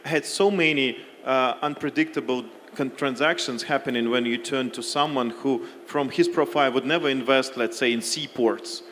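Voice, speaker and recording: A man speaking as a panelist in a relaxed, lightly humorous way. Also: medium pitch, moderate pace, few pauses. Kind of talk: panel discussion. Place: conference hall. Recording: reverberant hall with faint noise.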